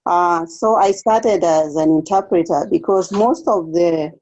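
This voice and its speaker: A woman from Kenya with a Kenyan accent, a case aide speaking carefully with pauses and restarts as a guest in an online seminar interview. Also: on the low side, a little mellow. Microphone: video call. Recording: dull lo-fi video call audio.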